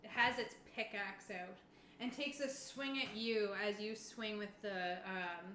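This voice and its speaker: A woman's voice: medium pitch, measured pace, fairly bright tone. Woman game master in an echoey room. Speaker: game master (Keeper) of a tabletop game. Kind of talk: improvised tabletop game narration, casual. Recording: roomy echo with clear background noise.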